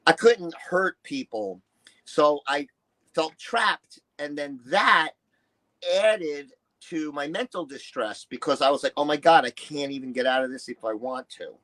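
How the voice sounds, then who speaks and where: Blunt, higher-pitched New man in his sixties. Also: expressive, measured pace, fairly bright tone, poor audio. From New York City, United States. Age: early 60s. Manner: blunt, profane, emphatic, acts out quoted dialogue. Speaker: interview guest, retired musician and bodybuilder. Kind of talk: candid personal storytelling in an interview.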